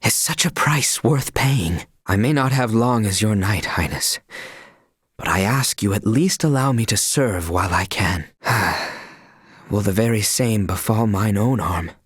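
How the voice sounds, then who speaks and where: An actor voicing a loyal young knight in a fantasy video game, speaking in formal, archaic courtly language with solemn earnestness. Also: male voice, on the low side, measured pace, slightly rough, no room sound, clean studio sound. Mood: grave, resigned. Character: loyal, earnest young male knight, dutiful royal retainer.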